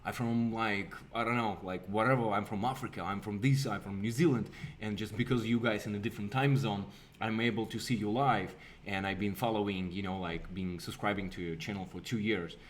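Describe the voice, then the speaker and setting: A man, co-hosting a live stream and telling an animated story in casual chat. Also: fairly quick, few pauses, a little hiss or hum. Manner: animated, acts out quoted voices with big pitch jumps. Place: indoor room with a virtual city backdrop.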